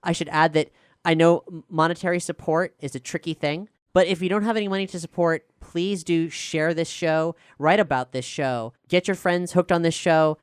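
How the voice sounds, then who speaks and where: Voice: medium pitch, fairly even intonation, normal speed, smooth, dry recording, studio quality. A podcast showrunner and host talking casually with guests, then reading out an earnest promotional announcement to listeners.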